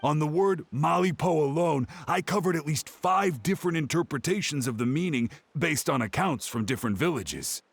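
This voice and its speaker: An actor playing a woeful, pleading old scholar with a dramatic, earnest manner in a video game. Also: male voice, a little high, animated, moderate pace, creaky, few pauses, slightly rough, exaggerated voice. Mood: aggrieved. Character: old scholar man, pleading and woeful, devoted to his research. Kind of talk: video game character acting.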